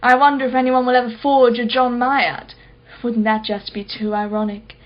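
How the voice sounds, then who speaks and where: An actress playing a haughty, sharp-tongued wealthy art collector in a radio play, speaking in an articulate, imperious and sardonic way. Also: female voice, fairly high pitch, little pitch movement, normal speed, highs cut off, lightly acted. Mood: sceptical, mocking.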